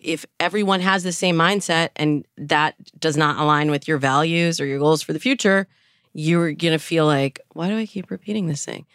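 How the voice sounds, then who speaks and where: Woman dating coach, notably low register, explanatory interview delivery with illustrative self-talk, very dry recording. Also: a bit bright, clear, resonant tone, no echo. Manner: explanatory, illustrates with imagined inner dialogue. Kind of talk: podcast interview, explaining advice.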